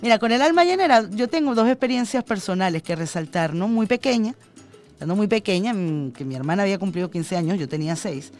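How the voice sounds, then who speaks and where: Venezuelan woman radio host, middle-aged, chatty anecdotal delivery, soft music bed. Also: medium pitch, fast talker. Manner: chatty, personal anecdotes with fillers. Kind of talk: radio news and call-in chat.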